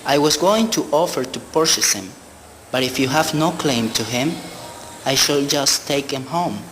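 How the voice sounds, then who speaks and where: An actor in a radio play voicing an uneasy, haunted man, musing in a theatrical, deliberate way. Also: fairly high pitch, lively intonation, a bit bright. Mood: curious, coaxing. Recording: long hall reverb, background noise.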